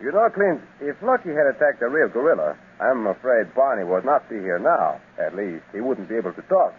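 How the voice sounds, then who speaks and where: An actor playing a knowledgeable adult guide in a 1930s radio serial, measured and explanatory. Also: male voice, medium pitch, very animated, breathy edge. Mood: explaining. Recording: tinny scratchy 1930s radio transcription.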